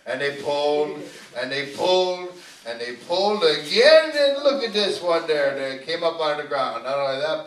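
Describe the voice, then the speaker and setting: A man telling stories live to children as a puppeteer, with dramatic, drawn-out delivery. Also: medium pitch, fairly bright tone. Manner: dramatic pauses and drawn-out repetition, asks the audience questions. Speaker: puppeteer and storyteller. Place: arts center live show. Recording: roomy hall, distant mic, faint noise.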